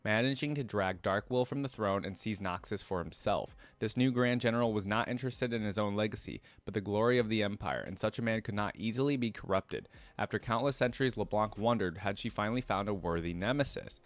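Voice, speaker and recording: Low-voiced man reading and reacting. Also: no echo. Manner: thinks aloud between read passages, with halting restarts. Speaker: reaction channel host. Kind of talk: casual reaction commentary reading lore aloud.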